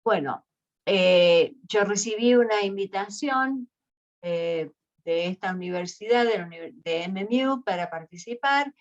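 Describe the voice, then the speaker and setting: A researcher from Argentina with an Argentine accent, giving a slow online lecture full of hesitations. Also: female voice, medium pitch, lively intonation, highs cut off. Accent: Argentine Spanish. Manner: slow and deliberate, with many hesitations.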